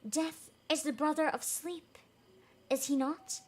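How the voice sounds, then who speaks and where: A woman voicing a devoted, dying little male swallow in a storybook, soft and tender. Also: high-pitched, steady tone, slow. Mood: resigned.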